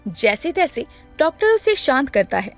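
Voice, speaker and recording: High-pitched woman storyteller. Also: sing-song, normal speed, faint music behind. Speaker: narrator. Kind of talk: scripted story narration.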